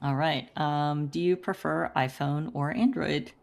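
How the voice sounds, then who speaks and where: A woman's voice: low voice, fairly even intonation, measured pace, gravelly, darkish tone. A woman hosting a podcast interview in a bubbly, upbeat way, laughing often. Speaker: podcast host.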